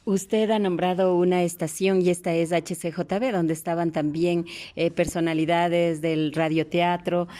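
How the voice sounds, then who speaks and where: A woman conducts an interview with long, courteous questions. Her voice is mellow and a little low, and her phrasing is formal. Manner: polite, formal, wordy questions.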